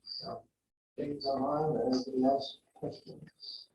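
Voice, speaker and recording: A man's voice: mid-range voice, level tone, unhurried, darkish tone, slight background noise. A man hosting a club meeting, moderating questions in a rambling, filler-heavy way. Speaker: meeting host. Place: meeting room. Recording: very echoey room, distant dull mic.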